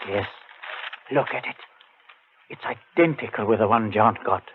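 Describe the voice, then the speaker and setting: A man's voice: fairly low pitch, a little slow, slightly rough. A man acting in a 1940s radio drama as a grim, determined avenger, speaking in an earnest, insistent, dramatic way. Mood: grave, determined. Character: grim determined man hunting his brother's murderer. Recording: tinny, muffled 1940s radio recording.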